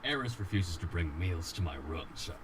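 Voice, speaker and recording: Very deep, timid dragon man. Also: animated, background noise. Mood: hesitant, shy. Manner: hesitant, halting. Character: timid, awkward male dragon in human form. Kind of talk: visual novel voice acting.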